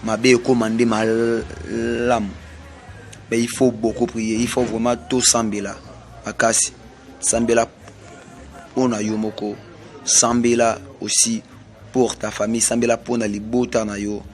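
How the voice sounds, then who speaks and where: Slow, solemn Congolese preacher. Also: male voice, mid-range voice, little pitch movement. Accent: Congolese French. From Congo (DRC). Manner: slow, repetitive, emphatic pronouncements. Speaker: self-styled prophet. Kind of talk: prophetic sermon mixing French and Lingala.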